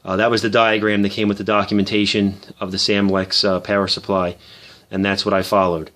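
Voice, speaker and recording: A man's voice: fairly low pitch, little pitch movement, a little fast, fairly bright tone. A man giving a casual, unscripted hobbyist demonstration in a methodical, matter-of-fact, explanatory way. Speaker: hobbyist presenter showing a homemade radio power box.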